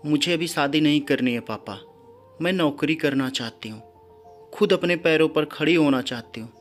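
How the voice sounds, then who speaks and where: Man voicing a determined young daughter, highish voice. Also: moderate pace, lightly acted. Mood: firm, challenging. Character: outspoken young adult daughter, hurt but determined. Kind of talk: storybook character dialogue. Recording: background music under the voice.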